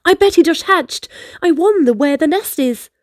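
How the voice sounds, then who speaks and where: A woman acts out an eager young boy from a children's storybook. The voice is high and bouncy, swooping up and down with curiosity and excitement. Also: normal speed, dry recording, clean studio sound. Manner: eager, exclaiming.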